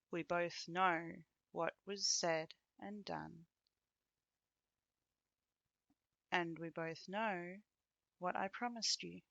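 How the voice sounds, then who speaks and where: A woman's voice: lowish voice, gravelly, fairly bright tone, no room sound, muffled recording, exaggerated voice. A woman playing a bitter, taunting young ex-girlfriend in an ASMR roleplay, speaking to the listener in a teasing, sardonic way. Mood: firm, confrontational. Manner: teasing, sardonic, with dry chuckles and pointed pauses.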